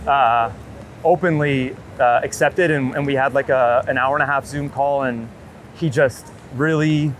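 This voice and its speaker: A man talking casually and earnestly as an interviewed photographer, rambling in a halting way. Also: mid-range voice. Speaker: street and wedding photographer being interviewed. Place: outdoors on a city street. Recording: street noise, passing traffic.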